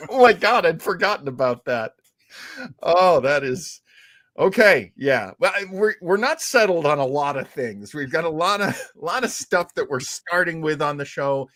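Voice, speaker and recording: A man co-hosting a casual livestream chat, talking in an excitable, exclamatory way. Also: on the high side, dry recording, clean studio sound. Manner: excitable, exclamatory, quick to laugh. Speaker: show co-host.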